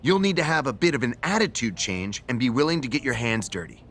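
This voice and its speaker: An actor playing a smug, self-important, emphatic wrestling general manager in a video game cutscene. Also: male voice, medium pitch, lively intonation, quick pace, a bit bright. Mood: exasperated, persuasive.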